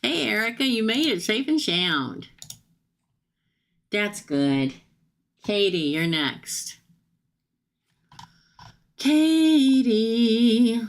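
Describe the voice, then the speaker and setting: A woman giving a chatty live-stream tarot reading, talking straight to her viewers, tired, with her voice starting to go hoarse. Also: a little high, very animated, extremely slow, pauses often. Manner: chatty, addresses viewers directly. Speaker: tarot reader.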